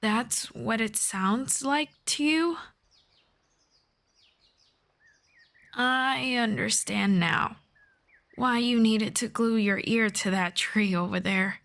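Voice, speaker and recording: A woman playing a gentle, earnest elf friend in a soft-spoken, measured ASMR fantasy roleplay. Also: a little high, creaky, full character voice. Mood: gentle, reflective. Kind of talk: slow scripted ASMR roleplay.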